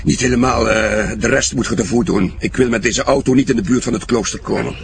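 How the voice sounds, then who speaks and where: An actor playing a stern, scheming Flemish criminal, instructing and admonishing, in an audio drama. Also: male voice, medium pitch, fairly quick, close to an everyday voice. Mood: matter-of-fact. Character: stern, scheming criminal briefing his accomplice. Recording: clear vehicle noise behind voice.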